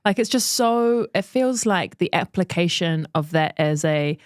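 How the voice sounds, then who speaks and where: A woman's voice: lowish voice, expressive, no echo, clean studio sound. Curious New woman co-host. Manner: curious, reflective, quick questions. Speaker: podcast co-host. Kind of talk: casual podcast chat.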